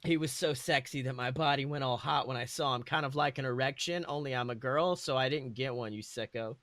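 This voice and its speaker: Male podcast host, bright dry sound, theatrical and amused mock-serious fanfiction narration. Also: medium pitch, fairly quick, dry recording. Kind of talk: mocking storytelling, reading fanfiction aloud.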